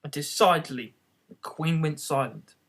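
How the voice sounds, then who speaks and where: A man reading a children's classic aloud in an expressive storybook narration style. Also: on the high side, moderate pace. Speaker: audiobook reader.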